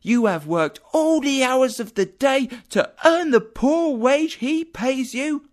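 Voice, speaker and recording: A man's voice: high-pitched, very animated, slow pace, voice pushed up, full character voice. A man voicing an indignant working-class mother who speaks with scorn and heat. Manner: scornful, heated.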